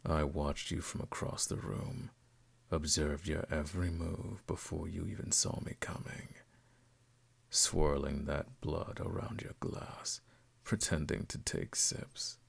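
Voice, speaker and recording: Man playing a very deep, creaky vampire lord. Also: steady tone, full character voice. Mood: sly, flirtatious. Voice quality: deep, creaky, breathy at times. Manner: slow, teasing, drawn-out, condescending. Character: suave predatory male vampire lord, teasing and seductive. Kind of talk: ASMR roleplay audio drama. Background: music.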